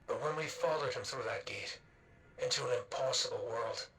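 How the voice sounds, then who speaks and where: A man's voice: medium pitch, normal speed, a bit bright, close to an everyday voice, poor audio. An actor playing a world-weary man ruefully recounting a betrayal in a dramatic video game monologue. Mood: bitter, rueful.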